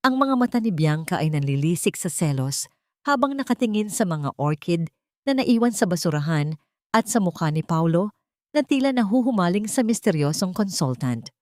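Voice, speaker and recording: A woman's voice: fairly low pitch, animated, moderate pace, a little mellow, dry recording, studio quality. A woman reading a dramatic story as an audiobook narrator in a scripted style.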